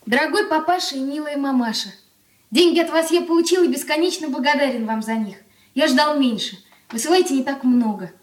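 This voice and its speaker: Актриса в роли юной дочери, высокий голос, эхо. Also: female voice, high voice, brisk, lightly acted. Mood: earnest reading. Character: eager, dutiful young daughter. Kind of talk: radio play acting. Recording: roomy, echoing radio studio recording.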